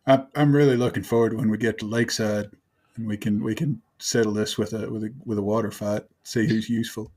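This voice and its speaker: A man's voice: lowish voice, steady tone, fairly quick, darkish tone. A man with a New Zealand accent talks casually as a player in a tabletop role-playing game.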